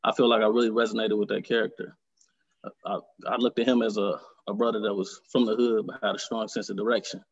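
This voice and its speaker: Husky man, reflective. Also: on the low side, flat delivery, lo-fi sound. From Detroit, Michigan. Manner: reflective and earnest, halting. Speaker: author, interview guest. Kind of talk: conversational interview.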